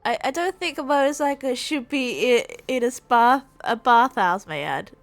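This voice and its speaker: A woman streamer giving casual, rambling gameplay commentary, reacting and thinking out loud. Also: on the high side, expressive, moderate pace, soft background music. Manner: rambling, musing aloud, reactive. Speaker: streamer playing a game.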